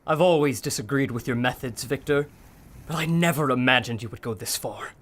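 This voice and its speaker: An actor playing a principled adventurer scientist in a pulp audio drama, earnest and firm. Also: male voice, mid-range voice, brisk, a bit bright, lightly acted. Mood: firm defiance.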